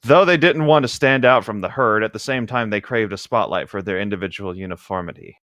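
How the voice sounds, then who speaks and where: Low-voiced man reading aloud and riffing. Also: a little fast, a bit bright, dry recording, studio quality. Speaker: co-host of a comedic read-along show. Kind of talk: book read-aloud with casual joking commentary.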